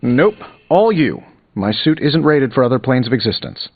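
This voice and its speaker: An actor playing a cocky billionaire inventor superhero in a video game, glib and sarcastic with playful quips. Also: male voice, mid-range voice, big pitch swings, normal speed, no room sound, highs cut off. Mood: joking. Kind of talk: video game character dialogue, quippy banter. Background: music.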